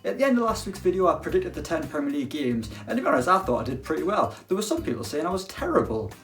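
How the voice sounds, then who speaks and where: A man's voice: a little high, big pitch swings, fast, a bit bright, soft background music. A young man presenting football news to camera in casual chat, with dry, self-mocking banter.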